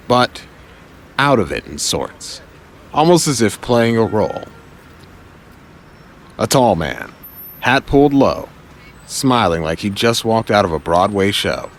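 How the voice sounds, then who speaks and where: A man with a low voice narrates a scripted audio drama. His delivery is suspenseful and varied in pitch, broken by dramatic pauses, over faint background noise.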